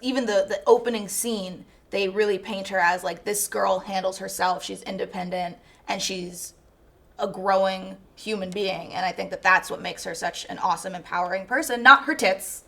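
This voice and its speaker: A woman's voice: mid-range voice, fairly even intonation, moderate pace, gravelly, sharp tone. A woman co-hosting a review, giving opinions in an emphatic, informal and chatty way. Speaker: co-host reviewer. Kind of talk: casual opinionated chat.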